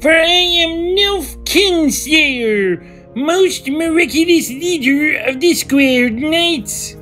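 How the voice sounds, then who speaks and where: A woman's voice: high voice, animated, drawn-out words, a bit bright, soft background music, heavily acted. A woman playing a boastful, condescending male guild leader who livestreams, in a fantasy audio drama. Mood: grandiose, smug. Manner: grandiose, condescending showman.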